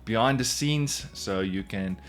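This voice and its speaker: A man's voice: on the low side, unhurried, a bit bright, faint music behind. A man whose first language is Afrikaans, speaking English with an Afrikaans accent, earnestly telling his own story straight to the camera in a casual vlog. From South Africa. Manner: earnest, repeats "in all honesty". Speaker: woodworking YouTuber.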